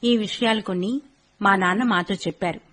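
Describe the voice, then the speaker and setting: A woman's voice: medium pitch, moderate pace, fairly bright tone, natural voice. An actress playing an anxious young daughter who urgently presses for news of her father. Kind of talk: radio drama acting.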